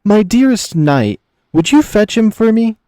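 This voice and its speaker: A man's voice: sits high, sing-song, unhurried, warm tone, no echo, clean studio sound, voice pushed up. A man voicing a seductive, mocking lioness chieftain in a visual novel, with a teasing, courtly manner. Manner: teasing, coaxing, theatrically courtly. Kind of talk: visual novel character reading.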